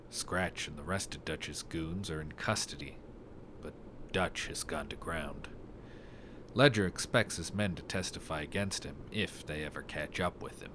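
A man's voice: extremely low pitch, fairly bright tone, slight background noise. A man playing a laconic, wry private detective and war veteran in a 1930s noir radio drama.

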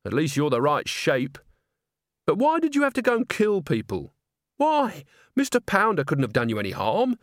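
A man's voice: a little high, wildly swooping pitch, moderate pace, no room sound, clean studio sound, pitched above the performer's own voice. A man voicing an earnest, stubborn young woman in a storybook, with an insistent, pleading manner. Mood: earnest, reproachful.

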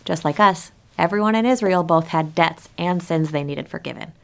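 A woman's voice: fairly low pitch, moderate pace, gravelly. A woman pastor giving a prepared but conversational sermon, speaking directly to her listeners and posing rhetorical questions.

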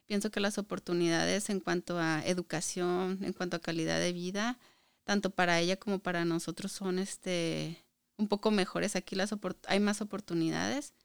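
A woman's voice: lowish voice, fairly even intonation, normal speed, gravelly, smooth, no room sound, clean studio sound. A woman in her thirties or forties with a northern Mexican Spanish accent, chatting casually as a podcast guest. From Chihuahua, Mexico. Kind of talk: casual conversational interview.

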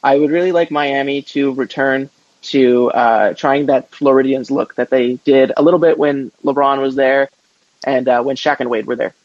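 A man's voice: mid-range voice, fairly even intonation, normal speed, a little mellow, no room sound. A man co-hosting a casual sports chat in an informal, hedging way, on a slightly muffled microphone. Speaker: podcast co-host.

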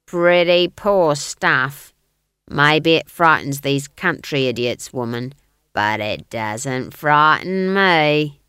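A big, scornful prison guard, played by a woman narrator, low in pitch and blustering. Each phrase lands with slow, surly emphasis, storybook fashion, in a dry close recording. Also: fairly bright tone, smooth, dry recording.

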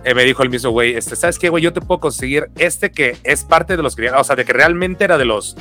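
Brief: Mexican podcast co-host, male, bright forceful tone, slangy and relaxed. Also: mid-range voice, fast talker, faint music behind. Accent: Mexican Spanish. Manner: relaxed, slangy, many fillers and restarts. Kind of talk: casual podcast chat.

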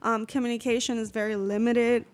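A woman's voice: a little high, little pitch movement, brisk. A woman from Puerto Rico, a radio interview guest, speaking hesitantly with many fillers.

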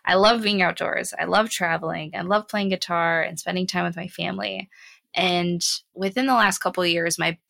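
Creaky, bright-edged young American woman, close-up. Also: lowish voice, steady tone, moderate pace, no room sound. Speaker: podcast co-host. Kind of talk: casual chat.

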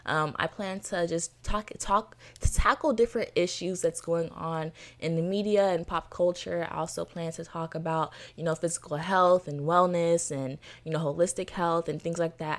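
A nineteen-year-old woman from Florida hosting her own podcast, talking in an enthusiastic, chatty way with lots of "you know" fillers. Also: on the low side. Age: teens. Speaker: podcast host. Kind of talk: solo podcast monologue.

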